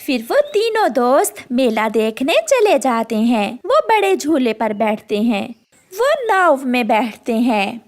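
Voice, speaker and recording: A woman narrates a children's story. Her voice is high, and she delivers scripted storytelling lines. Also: sing-song, slow pace, a little mellow.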